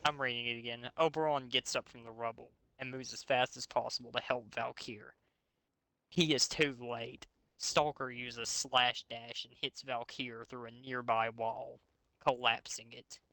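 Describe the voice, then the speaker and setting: Bright-voiced man reading aloud, sarcastic and sweary. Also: medium pitch, steady tone, measured pace, creaky, dry recording, low-quality recording. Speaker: host reading fan fiction aloud. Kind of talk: mocking dramatic reading with casual commentary.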